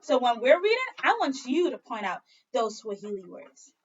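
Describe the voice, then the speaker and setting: A woman with a high voice reads to young children as their teacher, her intonation swinging widely. She pauses to ask questions and repeat things for the class. The audio is slightly dull and lo-fi, like a home video. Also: husky. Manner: addresses young children directly, with prompting questions and repetition; expressive storybook reading. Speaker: second grade teacher. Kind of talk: children's read-aloud lesson.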